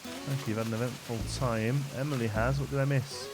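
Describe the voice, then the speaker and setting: A man's voice: steady tone, a little fast. A man chatting casually while streaming a game, thanking viewers and reading their gift notes. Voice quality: deep voice. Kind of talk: casual live gameplay chat. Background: game music.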